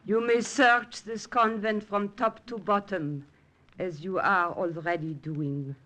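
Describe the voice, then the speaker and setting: An actress playing a calm, authoritative Mother Superior of a convent in a 1940s radio drama, speaking formally. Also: mid-range voice, slow pace. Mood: firm, formal.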